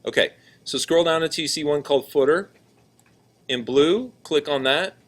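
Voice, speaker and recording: A man teaching a class, talking casually in a loud, projecting voice and often checking in with students while he walks around the classroom. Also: on the high side, moderate pace. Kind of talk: classroom lesson, walking around.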